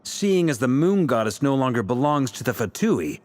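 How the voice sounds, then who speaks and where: A man's voice: mid-range voice, very animated, moderate pace, darkish tone, lightly acted. A man playing a seasoned, easygoing knight commander in a video game, affable but shrewd and probing. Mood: shrewd, curious. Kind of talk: video game cutscene acting. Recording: game music behind the voice.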